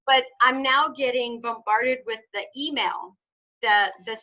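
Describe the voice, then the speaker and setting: A woman's voice: on the high side, measured pace, sharp tone, dull audio. A woman from North Texas, hosting a casual group video call, chatty and laughing often as she explains. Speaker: host of membership call.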